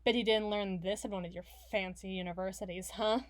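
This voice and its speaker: A woman's voice: medium pitch, fairly even intonation, fairly quick, natural voice. A woman playing a foul-mouthed, stubborn villain in an audio roleplay, blustering and sarcastic with her partner. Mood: gruffly softened. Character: brash, foul-mouthed, hot-tempered villain woman, gruffly soft on partner. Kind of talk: ASMR roleplay voice acting.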